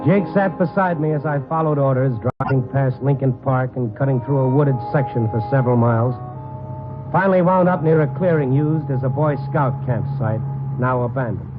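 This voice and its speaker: An actor playing a wisecracking, cool-headed American newspaper reporter in a 1950s radio drama, with a wry, sardonic manner. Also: male voice, medium pitch, normal speed, music in the background, close to an everyday voice. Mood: tense narration. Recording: tinny 1950s radio recording.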